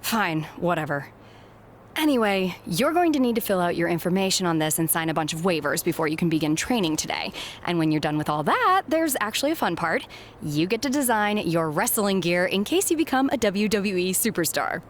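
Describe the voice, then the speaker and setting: A woman's voice: lowish voice, lively intonation, fairly quick, a little hiss or hum, lightly acted. Actress as exasperated female recruiter, dry sarcasm, no-nonsense, widely varied intonation, game dialogue. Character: brusque, exasperated female head recruiter at wrestling center. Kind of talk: video game cutscene voice acting.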